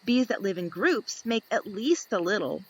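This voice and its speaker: A woman reading a children's picture book aloud, slow and lively, lifting into high voices for the questions. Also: husky. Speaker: storybook reader.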